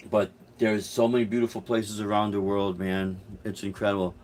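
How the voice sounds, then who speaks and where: A man's voice: on the low side, flat delivery, normal speed, a little mellow, slight background noise. A middle-aged man from Chicago chatting casually on a live stream, answering viewer comments in a rambling, hesitant way with many restarts. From Chicago, USA. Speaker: musician hosting a live stream.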